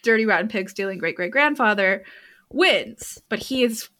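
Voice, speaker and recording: A woman's voice: medium pitch, lively intonation, normal speed, pushed and bright. A young woman casually retelling a book's plot to a friend, rambling with lots of fillers and restarts. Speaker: storyteller recapping a book. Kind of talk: casual chat.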